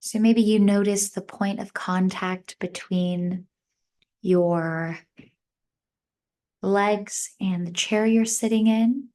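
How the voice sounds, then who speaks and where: A woman meditation instructor speaks at a very slow pace in a mid-range voice. She guides listeners gently, giving short, measured instructions and leaving long pauses between phrases. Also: mid-range voice, fairly even intonation.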